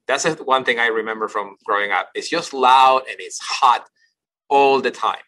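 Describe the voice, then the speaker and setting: Bright-voiced man from Ecuador. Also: medium pitch, moderate pace, poor audio. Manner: reflective, many restarts and repeated words. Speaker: guest, company CEO. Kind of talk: casual interview storytelling.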